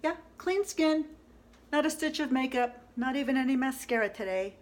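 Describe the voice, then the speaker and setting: A woman's voice: high-pitched, lively intonation, normal speed. A woman in her fifties chatting casually and rambling a little while she shows a dish in her home kitchen, laughing often. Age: 50s. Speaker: home cooking vlogger. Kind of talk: casual cooking demo talk.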